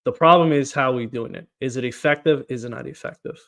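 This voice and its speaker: Man, political candidate, earnest, repeats words, middle pitch, podcast interview. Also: quick pace, creaky, fairly bright tone. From Portugal.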